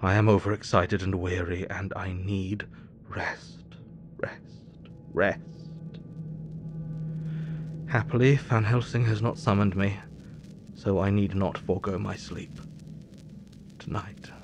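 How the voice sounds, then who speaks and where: A man acting a weary Victorian doctor narrating his diary in a measured, formal way for an audio drama. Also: sits low, steady tone, background noise. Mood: exhausted. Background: music.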